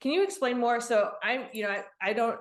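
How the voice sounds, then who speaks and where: A woman's voice: on the high side, fairly quick. A woman hosting an interview channel, introducing her guest enthusiastically and asking questions. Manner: enthusiastic, welcoming. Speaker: interview host. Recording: echoey room.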